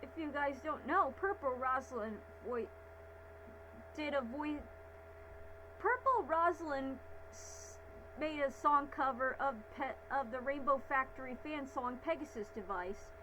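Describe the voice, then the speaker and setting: Woman, high voice, casual reaction commentary, halting, repetitive phrasing, noticeable background hum. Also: animated. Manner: halting, repeats herself. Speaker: reaction host. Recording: clear background noise and hum.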